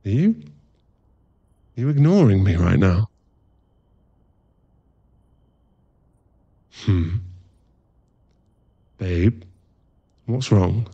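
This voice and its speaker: A laddish werewolf boyfriend played by a man in an ASMR roleplay, teasing and affectionate. His voice sits on the low side and takes its time, recorded close and dry. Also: big pitch swings, slow pace, pauses often, mellow, breathy edge, dry recording, highs cut off, heavily acted. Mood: sweet, curious. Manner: casual, laddish, teasing, drops into murmured asides.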